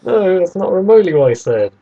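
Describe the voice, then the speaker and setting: A man's voice: sits high, big pitch swings, quick pace, dark tone, highs cut off. A man chatting casually as a podcast co-host, bantering and arguing his point. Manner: bantering, argumentative. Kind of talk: casual debating chat.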